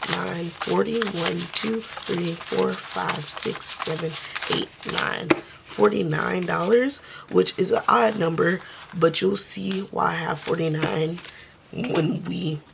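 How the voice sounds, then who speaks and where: A woman who is losing her voice speaks softly and casually, counting money aloud in a budgeting vlog. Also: creaky, background noise. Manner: soft, low-key, counting under her breath. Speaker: budgeting vlogger.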